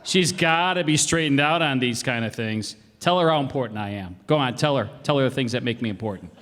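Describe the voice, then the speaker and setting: A man's voice: medium pitch, a little fast, a bit bright, lightly acted. Man acting a vain, breezy radio-comedy dad. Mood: boastful, breezy. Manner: boastful, breezy. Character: vain, folksy, slangy bandleader husband and father. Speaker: radio player. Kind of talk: stage re-creation of old radio comedy. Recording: reverberant hall, live stage performance.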